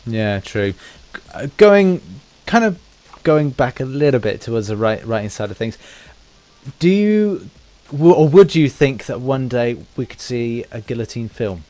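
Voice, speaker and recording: A man with an average-pitched voice interviews a guest on a casual podcast. He is agreeable and speaks in a halting way, piecing his sentences together as he goes. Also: lively intonation, warm tone.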